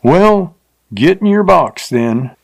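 Rude drunk rider guy with a drawl, pitched up and loud, gravelly edge, done by a man. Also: sing-song, slow, heavily acted. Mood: jeering, drunk. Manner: rough backcountry drawl with bad grammar. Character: uncouth drunken male frontier rider, rude and leering.

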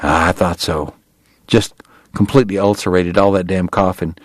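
Man acting a brusque, low-voiced Southern dentist. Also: steady tone, moderate pace, no room sound, studio quality. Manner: brusque, plain-spoken. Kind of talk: audiobook character voice.

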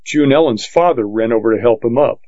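A man's voice: medium pitch, fairly quick, dark tone, no room sound. Man narrating a western, dry and muffled. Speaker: audiobook narrator. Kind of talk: first-person western narration. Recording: dull, lo-fi dry audiobook recording.

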